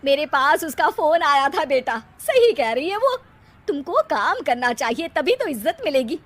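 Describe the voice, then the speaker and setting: A woman voicing a caring middle-aged cartoon mother who gently reproves and advises her grown son. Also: high-pitched, very animated, moderate pace. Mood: reproving.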